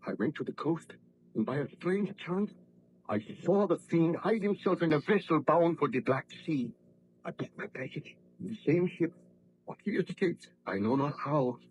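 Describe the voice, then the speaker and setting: A man acting as a haunted, vengeful baron in a vintage radio horror play. His voice sits fairly high for a man and his delivery is formal and fervent. The old recording carries steady background noise. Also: moderate pace, natural voice, low-quality recording. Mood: grave, driven. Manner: theatrical, formal, fervent storytelling. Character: haunted, exhausted, vengeful male baron recounting his hunt. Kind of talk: 1940s radio drama acting.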